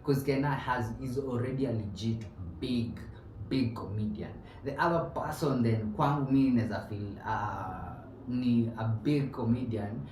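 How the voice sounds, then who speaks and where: A man's voice: mid-range voice, a little mellow, distant mic. Young Kenyan male podcast host, chatty with restarts, mid-range voice, echoey room with faint background noise. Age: young adult. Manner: mixes English and Swahili slang, chatty with many restarts. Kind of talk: casual chat. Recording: roomy, off-mic with faint ambience.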